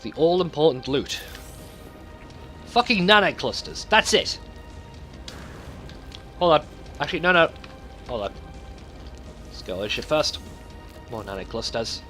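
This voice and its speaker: A man's voice: fairly high pitch, sing-song, measured pace, long pauses, a bit bright, faint music behind. A man hosting a let's play with casual, rambling gameplay commentary and loose swearing.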